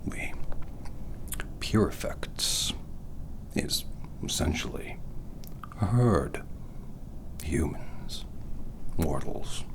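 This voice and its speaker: A man's voice: a bass voice, lively intonation, full character voice. A man voicing a condescending immortal vampire doctor in a whispered, smugly explanatory ASMR roleplay aboard a spaceship. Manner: slow, hushed, smugly explanatory.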